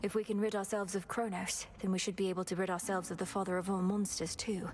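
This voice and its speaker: A woman's voice: mid-range voice, level tone, fast talker, a little mellow, a little hiss or hum, lightly acted. A woman playing an earnest, dutiful young witch princess in a fantasy game, speaking formally and courteously with resolve. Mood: determined. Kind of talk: scripted game dialogue.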